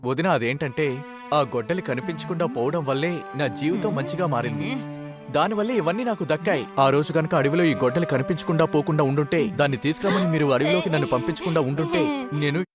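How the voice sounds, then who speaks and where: A man voicing a gentle, honest younger brother in a dubbed cartoon, speaking patiently and politely. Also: fairly high pitch, lively intonation, fairly quick, lightly acted. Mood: earnest. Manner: patient, polite, earnest explaining. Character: kind, honest younger brother who became rich, gentle. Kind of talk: cartoon dubbing. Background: background music.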